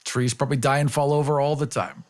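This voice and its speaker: A man's voice: mid-range voice, fairly even intonation, fast talker, clean tone. A man playing a tabletop game, chatting casually in a wry, joking way.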